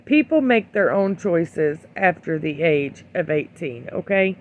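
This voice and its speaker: An opinion vlogger giving casual, rambling commentary in a blunt, admonishing way, with hesitant pauses. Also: medium pitch, animated, a little hiss or hum. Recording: dull lo-fi sound.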